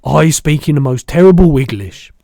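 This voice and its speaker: A man voicing a big, soft-hearted, proud giant in a children's storybook, booming and spluttering with mangled rustic grammar. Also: lively intonation, normal speed, creaky, no room sound, studio quality. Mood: wistful, insistent. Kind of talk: animated children's storybook reading.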